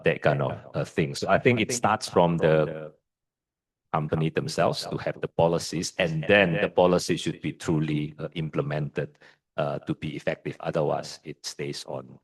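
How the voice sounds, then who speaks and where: A man with an Indonesian accent, a guest lecturer answering audience questions in a measured, hesitant way with frequent fillers and restarts. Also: extremely low pitch, husky, low-quality recording.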